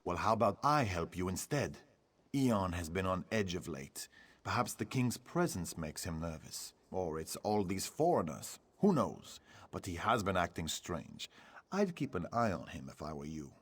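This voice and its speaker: An actor playing a secretive, scheming fort official in a fantasy video game, speaking in a measured, officious, insinuating way. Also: male voice, low-pitched, big pitch swings, a bit bright, slightly rough, full character voice. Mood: officious, sly.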